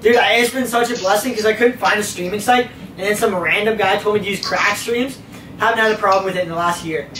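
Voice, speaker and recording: Young man, high voice, echoey room. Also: fast talker, slight background noise. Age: young adult. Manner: rambling, profane, energetic. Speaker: podcast host. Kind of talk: casual chat.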